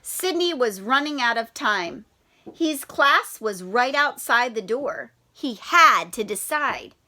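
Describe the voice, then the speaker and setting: A woman's voice: on the high side, lively intonation, slow, pushed and bright. A woman who teaches primary school, reading aloud and talking directly to children with prompting questions.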